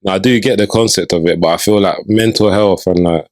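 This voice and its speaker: Man, deep voice, unhurried and halting, casual podcast guest. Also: no room sound, studio quality. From London, England. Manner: relaxed, hesitant, frequent ums and likes. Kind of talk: casual conversation.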